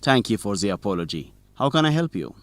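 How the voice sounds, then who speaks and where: An actor playing a principled, stubborn young student journalist in an educational radio drama, speaking expressively and clearly. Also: male voice, lowish voice, big pitch swings, brisk, no echo. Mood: guarded.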